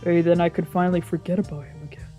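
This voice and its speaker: A streamer narrates a visual novel in the first person. The reading is dramatic and brooding, at a middle pitch, with game music underneath. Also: little pitch movement, rapid-fire. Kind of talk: dramatic narration with character voices.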